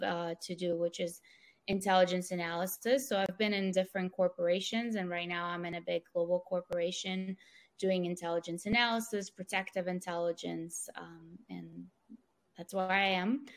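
A woman, answering interview questions in a measured way with many fillers, over a video call. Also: fairly low pitch, level tone. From Ukraine, raised in Israel. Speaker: podcast guest. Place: small room at home. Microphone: wired earphone mic on video call.

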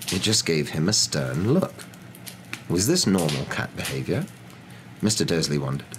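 A young man reading a story aloud as a dramatic, expressive ASMR narrator. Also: sits low, a little hiss or hum.

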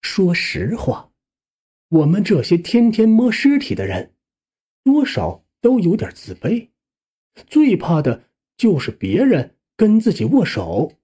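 A male audiobook narrator tells a suspense story in the first person. His delivery swings widely in pitch and takes its time. The tone is soft-edged and slightly husky, with a colloquial storyteller's phrasing. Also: huge pitch swings, slow.

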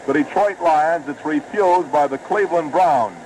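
1950s radio football announcer, crackly. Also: male voice, fairly high pitch, darkish tone. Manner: brisk, rising with the action. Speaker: play-by-play announcer. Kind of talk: live radio football commentary. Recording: noisy old 1950s radio broadcast.

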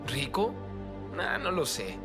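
A man's voice: mid-range voice, very animated, slow pace. A man voicing a determined, earnest teenage boy hero in a Latin American Spanish cartoon dub, with youthful delivery. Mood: puzzled. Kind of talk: animated series dub. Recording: loud music behind.

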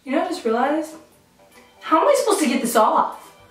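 Young woman, high voice, playful, self-mocking, echoey bathroom, faint music. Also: big pitch swings, fairly quick, far from the mic. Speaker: beauty vlogger. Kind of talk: casual makeup vlog chat. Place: small tiled bathroom, indoors. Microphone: camera mic at arm's length.

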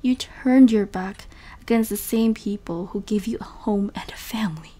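An actress playing a hurt, caring but firm young woman in an emotional audio drama, breathy and earnest, often near whispering. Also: medium pitch, moderate pace, warm tone, close to an everyday voice. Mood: hurt, accusing.

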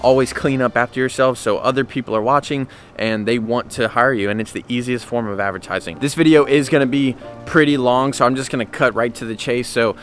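An upbeat young man chats straight into a handheld camera, full of "guys" and easy fillers as he walks through a how-to. His voice sits at an ordinary middle pitch and is recorded outdoors with faint music underneath. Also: fairly quick, hardly any pauses, a bit bright. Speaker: boat detailing business owner. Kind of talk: casual how-to vlog. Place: outdoors at a sunny marina. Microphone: handheld camera at arm's length.

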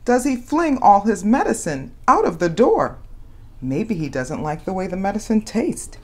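A woman's voice: medium pitch, normal speed. A woman hosting a children's read-aloud, telling a picture-book story expressively and dramatizing it for young listeners. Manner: animated, dramatizes questions and exclamations for young children.

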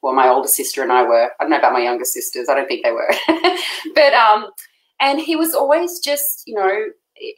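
A middle-aged woman with an Australian accent chats warmly and effusively, laughing often. Her voice sits low, and the room around her sounds echoey on the video call. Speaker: guest. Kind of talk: casual chat. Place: home room.

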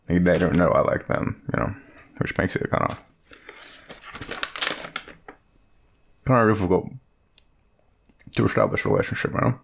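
A man's voice: deep voice, gravelly, husky, heavily acted. An Australian man playing an awkward, joking young guy with a secret crush, rambling and hesitant in an intimate, casual audio roleplay. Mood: flustered, shy. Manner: rambling, hesitant, self-deprecating, swearing.

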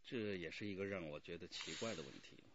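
An actor playing an earnest, thoughtful police detective in a radio drama, expressive and deliberate. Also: male voice, sits low, lo-fi sound, a crowd in the background, close to an everyday voice. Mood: concerned, explaining. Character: earnest, wry male police detective on the case.

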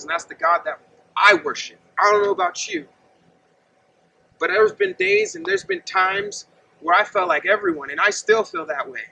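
A man's voice: extremely high pitch, gravelly, pushed and bright, a little hiss or hum. A man in his thirties preaching earnestly and conversationally outdoors, standing and gesturing while he talks to a camera set some distance away. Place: outdoors by a gravel lot and fence. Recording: distant outdoor mic with faint ambience.

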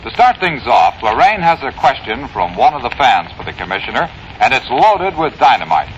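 A man's voice: medium pitch, sing-song, moderate pace. An American man announcing a 1950s radio show from a script. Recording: dull old radio recording, faint noise.

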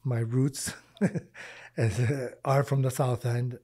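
Podcast guest, man in his 40s, mellow and slightly husky, earnest, restarts his phrases, close studio mic. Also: medium pitch, fairly even intonation, slow pace. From Lebanon, raised in Michigan, US. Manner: earnest, repeats words while thinking, uses Arabic religious phrases. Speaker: podcast guest, charity founder. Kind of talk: casual interview conversation. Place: small podcast studio indoors. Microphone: boom-arm studio mic.

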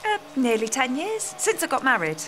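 Actress as lady customer at a salon, polite bit hesitant, bright lively voice, audio drama. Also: high voice, moderate pace, a little hiss or hum, heavily acted. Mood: hesitant, agreeable. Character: polite, slightly hesitant female salon client, a young mother. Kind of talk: amateur audio play acting.

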